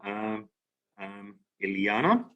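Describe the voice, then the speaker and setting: A man hosting a video call breakout session, relaxed and full of fillers. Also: on the low side, takes it slowly, muffled recording. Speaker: session host. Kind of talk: casual video call moderation.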